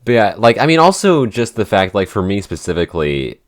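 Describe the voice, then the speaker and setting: A man's voice: lowish voice, very animated. A man from the Pacific Northwest, co-hosting a podcast as its writer, in casual chat full of "like" fillers and short laughs. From Pacific Northwest, USA. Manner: halting, many "like" fillers, laughs between phrases.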